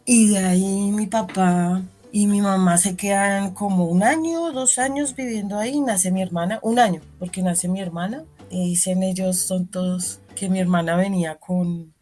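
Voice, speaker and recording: A woman's voice: mid-range voice, moderate pace, few pauses, mellow, soft background music. A woman with a Bogotá accent, a community educator telling her life story in an interview, reflective and full of restarts. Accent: Bogotá Spanish. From Colombia.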